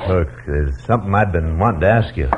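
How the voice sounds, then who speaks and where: An actor playing a rough, slow-witted outlaw henchman with a coarse cowboy drawl in an old radio Western. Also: low voice, heavy background noise, close to an everyday voice. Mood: curious, uneasy. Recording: thin, narrow old radio recording, faint music.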